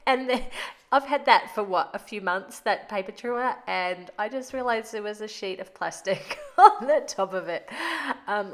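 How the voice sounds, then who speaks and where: A woman hosting a craft video, narrating casually in a chatty, rambling way, sounding stuffed up from a cold. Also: medium pitch. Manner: chatty and rambling, laughs often.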